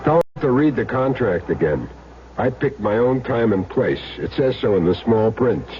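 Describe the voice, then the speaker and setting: An actor playing a calm, hard-boiled hit man with terse, sardonic delivery in an old radio crime drama. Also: medium pitch, normal speed, close to an everyday voice. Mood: dry, dismissive. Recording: noisy muffled old radio recording.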